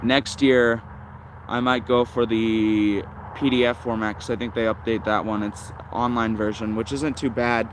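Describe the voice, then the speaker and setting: A man's voice: medium pitch, level tone, measured pace, a little hiss or hum, rough recording. A man giving casual, unscripted hobbyist commentary as a livestream host, rambling and thinking aloud. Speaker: shortwave radio livestream host.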